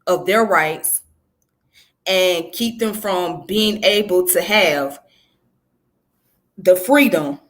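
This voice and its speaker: A woman's voice: fairly low pitch, drawn-out words, pauses often. A woman from Georgia gives informal educational commentary, explaining conversationally and often checking in with the listener. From southern United States, Georgia. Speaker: history presenter.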